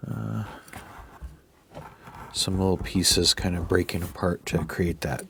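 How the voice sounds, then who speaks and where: A man with a raspy voice, fighting off a cold, talking softly through a drawing tutorial as he sketches and thinks aloud. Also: sits low, steady tone, slow pace, a little hiss or hum. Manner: soft-spoken, thinking aloud while sketching, sometimes half-whispered. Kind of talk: relaxed drawing tutorial commentary.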